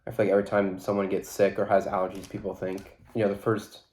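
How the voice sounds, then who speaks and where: A man's voice: lowish voice, quick pace, echoey room. Congested man hosting a casual podcast. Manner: relaxed, rambling, many fillers. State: congested, stuffy nose. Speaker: podcast host. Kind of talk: casual solo podcast talk.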